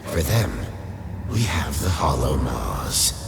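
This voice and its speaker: Abyssally deep undead lich. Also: male voice, big pitch swings, slow, creaky, exaggerated voice. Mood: grave, explanatory. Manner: grave, imperious, formal. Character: commanding undead lich, skeletal ancient sorcerer. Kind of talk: dark fantasy radio play acting. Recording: cavernous echoing reverb, music underneath.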